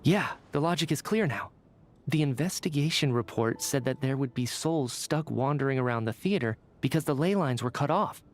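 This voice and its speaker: An actor playing a calm, analytical young intellectual in a video game, reasoning deliberately like a detective. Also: male voice, mid-range voice, lightly acted. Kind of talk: scripted game dialogue.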